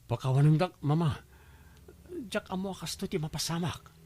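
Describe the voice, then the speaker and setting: A man's voice: fairly high pitch, lively intonation, moderate pace, mellow. A man playing an evasive, weak-willed young groom in a radio drama. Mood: remorseful.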